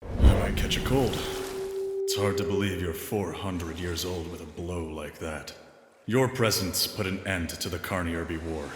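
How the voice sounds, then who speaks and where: A man's voice: low voice, moderate pace, close to an everyday voice. A man voicing a solemn, reverent animal leader with a formal, earnest manner in a fan dub. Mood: respectful, measured. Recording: echoey hall, faint background noise.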